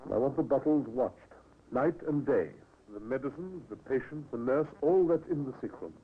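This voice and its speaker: An actor playing a grave, careful consulting doctor in a 1950s radio drama. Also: male voice, medium pitch, expressive, moderate pace, husky. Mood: grave, firm. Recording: tinny old radio recording with hiss.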